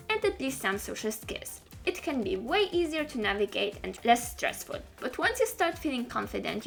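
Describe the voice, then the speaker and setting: A woman's voice: medium pitch, creaky. A woman giving informal, scripted advice as a video creator, addressing the listener directly and with emphasis.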